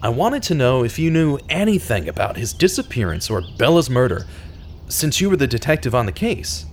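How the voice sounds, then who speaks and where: Actor as an earnest, animated radio detective man. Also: mid-range voice, brisk, a little hiss or hum. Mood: earnest, pressing. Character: earnest radio host turned true-crime detective, man. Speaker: host and narrating lead. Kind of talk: scripted audio drama narration.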